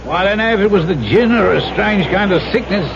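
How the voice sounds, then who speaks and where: High, creaky-voiced talkative sea captain. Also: male voice, expressive, fast. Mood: ominous storytelling. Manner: folksy, garrulous, emphatic. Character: colourful old sea captain, gruff, superstitious, garrulous. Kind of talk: 1970s radio drama acting. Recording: dull, noisy old radio broadcast.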